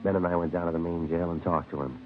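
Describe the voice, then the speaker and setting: An actor playing a terse, businesslike American police sergeant in a 1950s radio crime drama, clipped and matter-of-fact. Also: male voice, low voice, fairly even intonation, fast talker, natural voice. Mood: deadpan, factual. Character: terse, businesslike male police sergeant narrating his case. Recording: dull, phone-like vintage radio recording, faint music.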